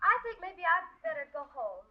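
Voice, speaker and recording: High-voiced, evasive young woman schemer. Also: big pitch swings, normal speed, a little hiss or hum. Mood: uneasy, hesitant. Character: evasive, world-weary young woman schemer with guilty secrets. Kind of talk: old-time radio drama acting. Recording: dry, dull vintage radio recording.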